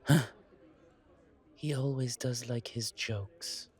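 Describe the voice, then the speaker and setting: Genteel vampire survivor, male actor, audio roleplay: world-weary and wary, unhurried delivery, mid-range pitch, courtly phrasing. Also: a little mellow, lightly acted. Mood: wary, puzzled. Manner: courtly, deliberate, pausing, sighing between phrases. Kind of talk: slow audio roleplay acting, addressed to listener.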